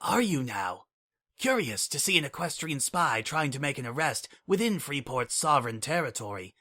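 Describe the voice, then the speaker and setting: Brief: a man playing a cool, formal pony official in a storybook reading, measured, softer and even. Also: mid-range voice. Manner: formal, measured and legalistic, refers to self as "this one". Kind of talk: fan dramatic audiobook reading.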